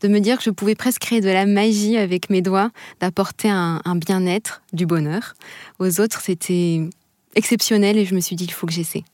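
A young adult woman from Bordeaux, answering interview questions with enthusiastic, expressive storytelling and a few hesitations. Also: medium pitch, normal speed, no echo, clean studio sound. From Bordeaux, France. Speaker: guest.

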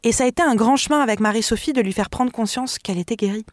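A woman's voice: on the high side, fast talker, dry recording, studio quality. A woman, a singer and vocal mentor, talking expressively as a radio interview guest, often laughing and restarting her sentences.

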